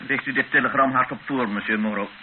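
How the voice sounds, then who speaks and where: A man's voice: medium pitch, fast, lightly acted. Een man die in een hoorspel een formele legerkapitein speelt, zakelijk en kortaf. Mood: formal. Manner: clipped, matter-of-fact. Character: curt, formal Congolese army captain, secretly humane. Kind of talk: radio play acting. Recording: muffled, hissy old radio recording.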